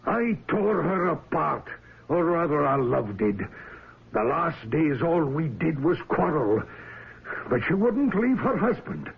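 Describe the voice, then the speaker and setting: A man's voice: fairly high pitch, very animated, takes it slowly, gravelly, husky, full character voice. A man acting an obsessed, lovelorn ship's captain in an old-time radio drama, with a stern, theatrical intensity. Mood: anguished, brooding. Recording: muffled, hissy old radio broadcast recording.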